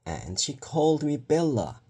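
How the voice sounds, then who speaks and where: A man's voice: a little high, huge pitch swings, unhurried, warm tone, breathy edge, pitched above the performer's own voice, exaggerated voice. A man voicing an earnest young schoolgirl who is reluctant about her new teacher, in a children's storybook. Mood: sulky.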